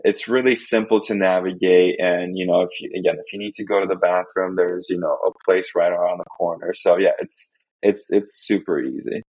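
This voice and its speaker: A man's voice: sits low, steady tone, fairly quick, few pauses, darkish tone, no echo, poor audio. A man from Estonia whose first language is Russian, a podcast guest chatting casually with many fillers and restarts. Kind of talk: casual interview chat.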